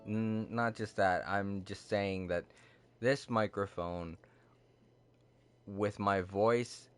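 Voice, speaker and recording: A sleepy man chatting casually on a livestream, rambling and halting with many fillers, self-deprecating. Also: on the low side, steady tone, faint music behind. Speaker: streamer. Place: home room with a TV. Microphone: cardioid desk microphone.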